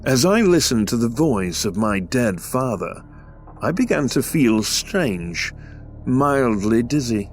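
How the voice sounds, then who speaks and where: An actor playing a polite, reserved young English heir, speaking in courteous, formal phrases in an audio drama. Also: male voice, mid-range voice, sing-song, takes it slowly, soft background music. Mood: brooding. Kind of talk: scripted audio drama with first-person narration.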